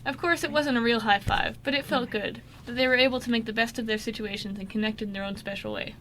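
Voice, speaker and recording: A woman's voice: on the high side, steady tone, quick pace. A woman reading scripted narration for an amateur radio drama, with small stumbles and self-corrections. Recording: faint background noise.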